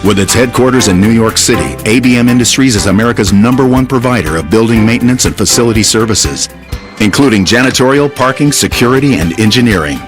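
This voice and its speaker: A man giving measured, dramatic scripted narration for a reality TV show. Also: medium pitch, expressive, music in the background.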